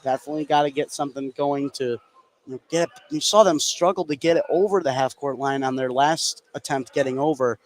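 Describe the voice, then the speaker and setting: A man's voice: medium pitch, a little fast. An American man giving informal, explanatory color commentary during a live basketball broadcast. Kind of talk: live sports analysis, conversational. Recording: light gym background noise. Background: gymnasium ambience.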